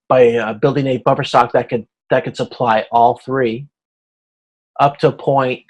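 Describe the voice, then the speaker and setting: A man's voice: mid-range voice, rough recording. A man teaching an informal online class, explaining step by step in a hesitant way and checking for understanding. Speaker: instructor. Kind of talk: informal video-conference lecture explaining slides.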